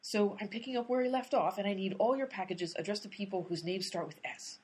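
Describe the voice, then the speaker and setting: A woman's voice: mid-range voice, fast, darkish tone, husky, close to an everyday voice. A woman playing an earnest, literal-minded amateur detective in a radio comedy, insistent and pedantic. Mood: bluffing.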